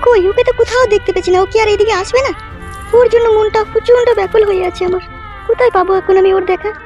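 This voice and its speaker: A village woman from a comedy cartoon, acted out by a woman, speaks in an extremely high, small voice. She rattles along very fast in insistent, colloquial rural speech, anxious and scheming, with music behind her. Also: steady tone, a little mellow. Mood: yearning. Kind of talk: Bengali comedy cartoon dialogue.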